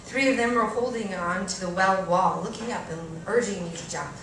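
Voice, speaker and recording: A woman reading a first-person story aloud at a live event, expressively and theatrically, with deliberate pauses and varied emphasis. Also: medium pitch, fairly bright tone. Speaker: reader performing a short story at a live event. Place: live reading in a cafe venue. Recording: echoey room, distant mic, faint noise.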